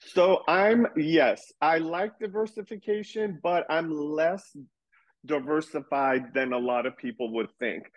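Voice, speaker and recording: A man's voice: on the high side, unhurried, dull audio. A man talking candidly and animatedly in a casual podcast conversation, swearing now and then as he explains his views. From grew up in a Persian community. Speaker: reality TV star guest.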